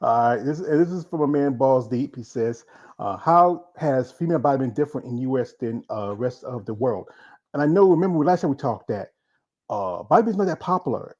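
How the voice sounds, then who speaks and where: Male interview host, relaxed dark tone, informal and rambling, a little muffled in recording. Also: medium pitch. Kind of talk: casual chat, reading out viewer comments.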